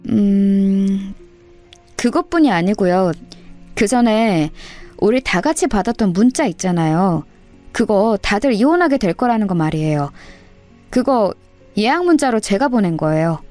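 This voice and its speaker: Secretive younger sister-in-law played by a female narrator, composed and deliberate; audio-story drama over music. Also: mid-range voice, lively intonation, darkish tone, lightly acted. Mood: calm confession.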